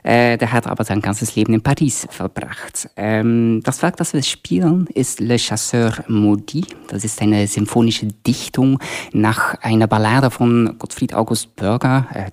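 A man's voice: mid-range voice, darkish tone. A man from Belgium who works as a concert dramaturg, introducing orchestral works with vivid, explanatory storytelling. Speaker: concert dramaturg and music educator.

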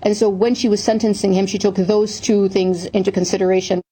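A woman news correspondent reporting deliberately, with a few restarts. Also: mid-range voice, level tone, dark tone, poor audio.

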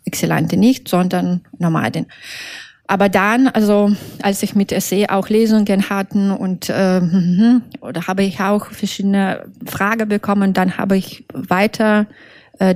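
A woman from Belarus, a native Russian speaker, answering interview questions in halting, careful German, with many fillers and self-corrections. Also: on the low side, darkish tone, dry recording, studio quality. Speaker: author being interviewed.

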